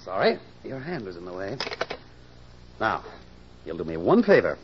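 An actor playing a wry, exasperated but affectionate sweetheart in a 1940s radio drama, wisecracking and sardonic. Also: male voice, low-pitched, huge pitch swings, normal speed, very noisy, heavily acted. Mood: firm, sardonic. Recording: dull, lo-fi old radio recording.

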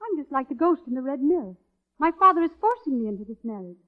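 A woman's voice: high voice, big pitch swings, quick pace. A woman playing a sweet, melancholy young Dutch burgomaster's daughter in an old radio operetta, with a theatrical delivery. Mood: wistful, sad. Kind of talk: 1940s-50s radio operetta acting. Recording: dull old radio recording with music.

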